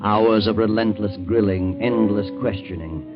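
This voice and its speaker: An actor playing a slow, ominous narrator of a 1940s radio mystery. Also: male voice, lowish voice, steady tone, lightly acted. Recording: dull vintage 1940s radio recording. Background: loud music.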